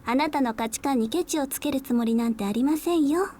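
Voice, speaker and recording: A woman playing a cheerful, eccentric, teasing high school girl in a Japanese visual novel, speaking politely. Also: racing, warm tone, slight background noise, lightly acted. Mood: calm, unsettling.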